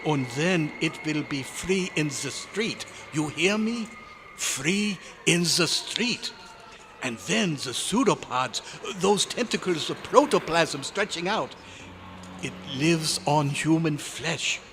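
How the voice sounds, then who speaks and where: A man's voice: fairly high pitch, expressive, takes it slowly, fairly bright tone. A man playing a desperate scientist in an old-time radio drama, pleading urgently in formal, exclamatory phrases, recorded live. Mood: dread. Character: frantic, desperate male scientist warning of impending doom. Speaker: club member performing a radio play recreation. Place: hotel convention meeting room, live performance. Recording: noisy live convention room recording.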